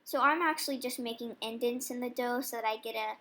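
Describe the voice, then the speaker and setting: A woman's voice: on the high side, fairly quick. A young woman casually explaining step by step how she makes dog treats. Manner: chatty, relaxed, explains each step. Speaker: vlogger baking dog treats. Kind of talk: casual cooking demonstration voice-over.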